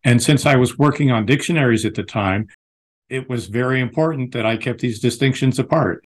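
An American man, a lexicographer and author, giving thoughtful, measured interview answers with frequent restarts. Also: medium pitch. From United States.

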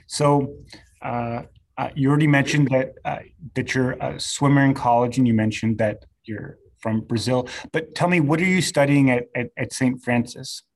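A man's voice: mid-range voice, normal speed. A man whose first language is Serbo-Croatian, interviewing a guest in a chatty way with lots of fillers. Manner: friendly, chatty, many fillers. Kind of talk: casual interview.

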